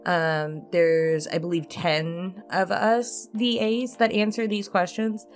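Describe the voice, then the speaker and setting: A woman with a fairly low voice hosts a casual chat for her audience. She talks informally and feels her way through her phrasing, with music faintly behind her. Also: steady tone, slow pace. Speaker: host, roleplay ASMR voice actor. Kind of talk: casual chat to viewers in a pre-recorded Q&A.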